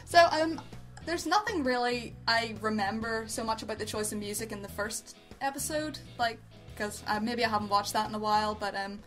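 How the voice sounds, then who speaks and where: A woman's voice: on the high side, normal speed, fairly bright tone, soft background music. A woman co-hosting a casual review show, speaking in a gushing, repetitive way that often trails off. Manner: gushing, repeats herself, trails off. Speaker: co-host of an amateur review show. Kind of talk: casual unscripted review chat.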